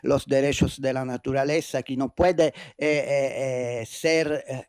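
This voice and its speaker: A man's voice: medium pitch, fairly even intonation, a little slow, darkish tone, clear, resonant tone, no echo, studio quality. A man from Italy with an Italian accent, giving a conference lecture in a non-native language, hesitant with many fillers and restarts. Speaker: keynote speaker. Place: university lecture hall.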